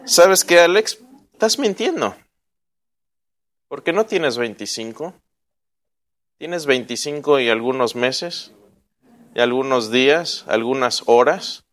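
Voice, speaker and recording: A man's voice: mid-range voice, lively intonation, slow pace, pauses often, fairly bright tone. A man, teaching a casual Bible class, joking and quizzing his listeners. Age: 40s. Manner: joking and chatty, asks the audience questions.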